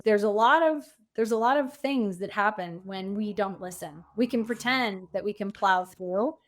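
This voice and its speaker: A woman's voice: mid-range voice, normal speed. A middle-aged woman speaking as an interview guest, reflective and conversational. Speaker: podcast guest, coach and author.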